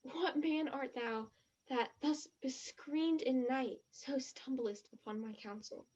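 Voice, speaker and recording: A woman's voice: sits high, slow pace, a little mellow. A woman acting a naive, earnest, dreamy young romantic in a stage play, with a slightly muffled sound.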